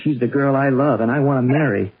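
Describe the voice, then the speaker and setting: An actor playing a stubborn, lovesick young American businessman in a radio drama, with a husky voice and an urgent, halting delivery. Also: medium pitch. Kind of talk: 1970s radio drama acting. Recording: muffled phone-like vintage radio recording.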